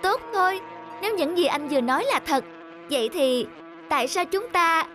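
A woman's voice: extremely high pitch, expressive, normal speed. A woman voicing a small, plucky ant in a children's cartoon, polite but indignant and reasoning. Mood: challenging. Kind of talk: animated children's fable acting. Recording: music under the voice.

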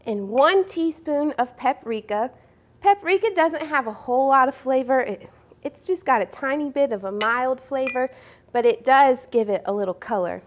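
Cooking show host: young woman, high voice, friendly step-by-step kitchen explaining. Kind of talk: cooking demonstration. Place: home-style studio kitchen.

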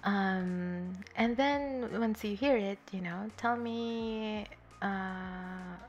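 A woman's voice: mid-range voice, extremely slow, dull audio, soft background music. A young woman streaming from home, chatting casually while she draws, giggling often and rambling with lots of fillers into a desktop microphone. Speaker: streamer drawing live. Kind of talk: casual chat while drawing. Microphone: desktop USB microphone.

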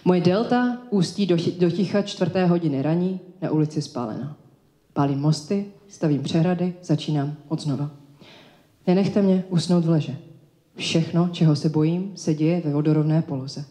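A woman performing spoken-word poetry live as a slam poet, delivering short lyrical phrases in a measured, declaimed way. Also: low voice, warm tone. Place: indoor live event space. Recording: echoey live room.

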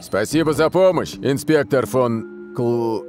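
An actor playing a friendly, earnest sports league agent in a cartoon. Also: male voice, medium pitch, expressive, slow pace, a little mellow, faint music behind. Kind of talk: animated cartoon dub.

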